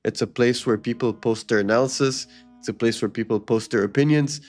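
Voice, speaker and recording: A man's voice: medium pitch, fairly quick, soft background music. A man hosting a live trading stream, explaining charts and answering chat in a casual coaching way, often checking in with "okay?". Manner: reads viewer comments aloud and answers them. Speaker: livestream trading host.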